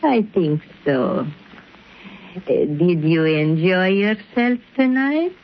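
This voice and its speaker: A cool, menacing dancer villainess from a 1930s radio thriller. She speaks very slowly and formally in a fairly low voice. The recording is old and muffled. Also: lively intonation. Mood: defiant. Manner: formal, deliberate phrasing. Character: cool, veiled-threatening exotic female dancer and villainess. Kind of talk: 1930s radio drama acting.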